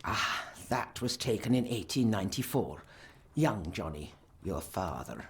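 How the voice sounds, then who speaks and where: Slow, genteel old aristocratic lady. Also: on the low side, very animated. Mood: nostalgic. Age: older. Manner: measured, evasive, genteel. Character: elderly aristocratic lady, evasive, nostalgic, haughty. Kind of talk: video game drama dialogue.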